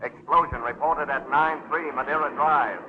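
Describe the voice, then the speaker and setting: A man playing a brisk, impersonal police dispatcher over the radio in a 1930s film. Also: fairly high pitch, steady tone. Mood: urgent, formal. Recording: tinny police radio, noisy old film soundtrack.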